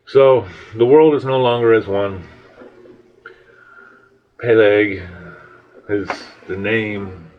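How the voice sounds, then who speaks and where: A man telling a biblical story in an improvised, conversational way, halting and rambling as he reflects. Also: on the low side, fairly even intonation. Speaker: storyteller, podcast host. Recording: dull lo-fi audio with background noise.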